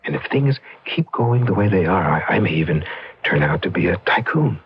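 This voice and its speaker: A man's voice: deep voice, animated, normal speed, gravelly, breathy edge, heavily acted. An actor in an old radio drama playing an ambitious, impatient, slick husband turned rich businessman. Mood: soothing, troubled. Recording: dull lo-fi radio drama recording.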